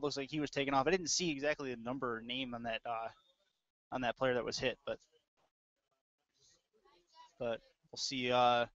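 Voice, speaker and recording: A man's voice: fairly high pitch, fairly bright tone, no room sound, dull audio. A man doing live play-by-play of a football game, describing the action in short clipped phrases. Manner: clipped running description of plays. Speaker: play-by-play sports announcer. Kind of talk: live American football commentary.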